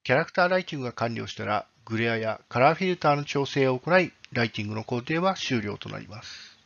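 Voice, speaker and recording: Male presenter for a scripted technical walkthrough: formal, explanatory, average pitch, somewhat dull audio. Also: lively intonation, fast talker, creaky, fairly bright tone. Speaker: presenter, game developer.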